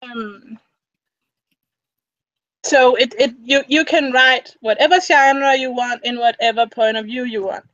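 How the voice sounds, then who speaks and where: A woman's voice: fairly high pitch, measured pace, pauses often, fairly bright tone, no room sound, highs cut off. A young woman from Denmark with a Danish accent, speaking English as her second language, rambling with many restarts in a casual interview over a video call. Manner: rambling, frequent restarts and fillers. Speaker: interviewee, fan fiction writer. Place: small indoor room. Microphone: webcam mic on a video call.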